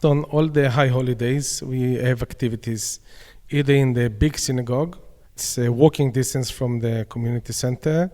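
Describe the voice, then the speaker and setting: A man with an Israeli accent answers questions at an easy mid pitch, plain and a little halting. His voice rings with a long room reverb. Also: a little mellow. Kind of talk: casual interview answers. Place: community centre dining room.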